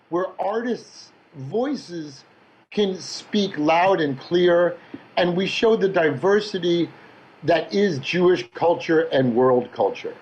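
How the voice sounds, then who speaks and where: A man moderating an online panel discussion, introducing the event and guests with measured, emphatic phrasing. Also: fairly high pitch, darkish tone, low-quality recording. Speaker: discussion host.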